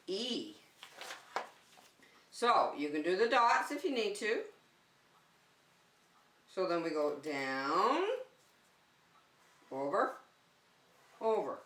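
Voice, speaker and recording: Bright-voiced woman teaching preschoolers, echoey room. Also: fairly low pitch. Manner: simple, encouraging instruction addressed to young children. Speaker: preschool teacher hosting circle time. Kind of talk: children's lesson with counting and simple songs. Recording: echoey room, distant microphone.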